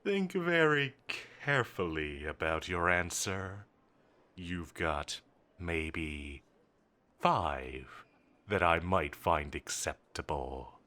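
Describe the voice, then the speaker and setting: A man's voice: low voice, animated, slow, sharp tone, heavily acted. A man playing a smug, menacing villain boss in an audio roleplay, with a theatrical, mocking, condescending delivery. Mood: mocking, cold. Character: smug, menacing male supervillain boss toying with his enemy.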